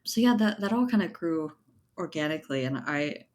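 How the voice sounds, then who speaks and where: A woman's voice: low-pitched, expressive, moderate pace, darkish tone. A woman speaking as an interview guest in an informal, thoughtful way, often checking in with little tag questions. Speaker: interview guest, writer and editor. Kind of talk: casual conversational interview talk.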